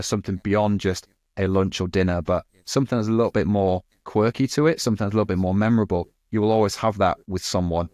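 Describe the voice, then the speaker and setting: A man's voice: low-pitched, a little mellow, no echo, clean studio sound. A man in his thirties, a podcast guest, talking fluently and casually with lots of "you know" and "kind of". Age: 30s. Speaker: agency guest.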